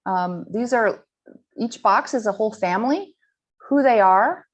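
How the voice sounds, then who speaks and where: A woman giving a slide presentation to a school audience, earnest and halting, with frequent ums and restarts. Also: fairly high pitch, animated. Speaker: guest speaker presenting slides.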